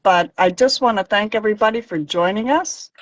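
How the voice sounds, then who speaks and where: A woman's voice: mid-range voice, a little fast, dry recording, low-quality recording. A woman hosting a casual live crafting chat on a video call, talking in a rambling, hesitant way. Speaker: host crafter.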